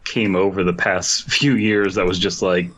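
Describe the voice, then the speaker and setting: A man's voice: low-pitched, steady tone, normal speed, fairly bright tone, slight background noise. A man hosting a podcast in casual comedic talk, with a mock-serious, sardonic way of speaking. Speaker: podcast host.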